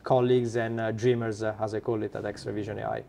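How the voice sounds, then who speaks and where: A man with an Italian accent, a startup founder being interviewed, who talks haltingly with many fillers and restarts. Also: on the low side, flat delivery, vocal fry, warm tone. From Italy. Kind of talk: podcast interview.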